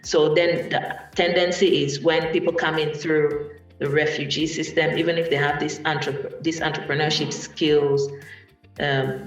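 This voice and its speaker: A speaker from Cameroon, telling a personal story at a panel event in a thoughtful way with many pauses and restarts. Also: mid-range voice. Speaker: guest speaker, musician. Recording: echoey room from far off, faint music.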